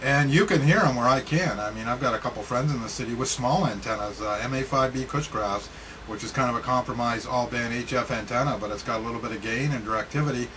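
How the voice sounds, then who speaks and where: A man chatting casually as an amateur radio operator, talking in a halting, matter-of-fact way. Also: mid-range voice, a bit bright. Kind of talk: casual chat over ham radio. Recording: clearly noisy ham radio recording.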